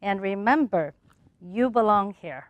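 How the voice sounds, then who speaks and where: A woman's voice: medium pitch, animated, unhurried, background noise. A woman hosting a panel talk show, asking questions and closing the episode. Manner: warm affirming listener, many soft mm-hmms.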